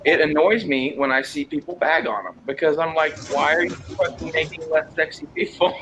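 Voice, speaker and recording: A man's voice: a little high, normal speed, a bit bright, a little hiss or hum, low-quality recording. A man chatting candidly and bluntly on a podcast, laughing often. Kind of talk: casual podcast chat.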